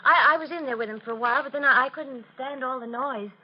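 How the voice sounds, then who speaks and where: A fairly high, husky-breathy female voice from a 1940s radio drama. She is a devoted, anxious wife, fluttery and hesitant as she fusses over her husband. The recording is dull and muffled. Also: fast. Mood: anxious, affectionate.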